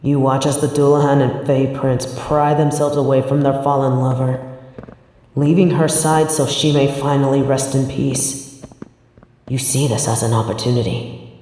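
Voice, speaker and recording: A man's voice: medium pitch, flat delivery, normal speed, warm tone. A man narrating a scripted second-person story as its narrator, with heavy reverb on the voice. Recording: long echoing reverb, music underneath. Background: music.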